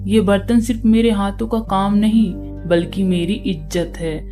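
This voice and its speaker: A woman's voice: mid-range voice, fairly even intonation, moderate pace, natural voice. Woman playing humble potter father, music behind. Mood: pleading. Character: poor male village potter, humble, proud, pleading father.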